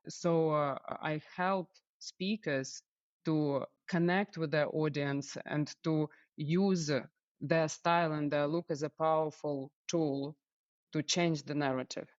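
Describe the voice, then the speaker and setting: A woman from Ukraine, a stylist guest telling her story in a relaxed interview, with many small fillers. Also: low-pitched, slow, no room sound, highs cut off. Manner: warm, storytelling, frequent "uh" fillers. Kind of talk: casual interview chat.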